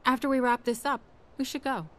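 A woman playing a tough, brisk young soldier in a video game, curt and confident. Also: sits high, steady tone, a bit bright. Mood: wry, warm. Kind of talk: video game cutscene acting.

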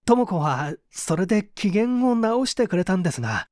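A man's voice: high-pitched, very animated, moderate pace, darkish tone, no echo, clean studio sound. A man playing an anguished painter confessing to an interrogator in a polite, emotive radio-drama reading. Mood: remorseful, subdued. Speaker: narrator and reader.

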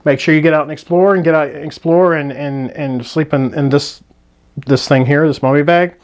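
A man's voice: a little high, steady tone, moderate pace. Rambling man reviewing camping gear. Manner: rambling with frequent restarts and fillers. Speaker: hiking channel host.

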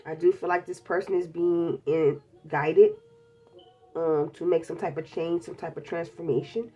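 A woman's voice: on the low side, steady tone, normal speed, mellow, breathy edge, muffled recording, soft background music. A woman with a stuffed-up cold, chatting bluntly and casually through a tarot reading, calling her viewers babies. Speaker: tarot reader. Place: indoors at a table covered in tarot decks.

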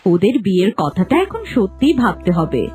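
A woman voicing a gentle, compassionate queen in a fairy-tale cartoon, speaking earnestly. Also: medium pitch, big pitch swings, normal speed, soft background music, close to an everyday voice. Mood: tender.

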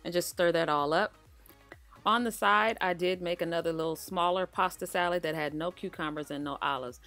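A woman hosting her cooking channel in a relaxed, chatty voice-over, talking straight to her viewers. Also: lowish voice, fairly quick. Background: faint background music.

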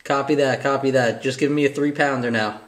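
A man's voice: mid-range voice, steady tone, brisk. A man who is a CB radio hobbyist, chatting in radio slang and repeating his short phrases. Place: small bare wooden garage. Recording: noisy, echoey garage camera recording.

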